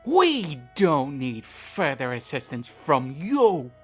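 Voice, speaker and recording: A woman's voice: low-pitched, very animated, slow pace, breathy edge, soft background music, heavily acted. A woman playing a stern, scornful, horned troll-like guard in a fantasy audio drama, impatient with everyone. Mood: stern, suspicious.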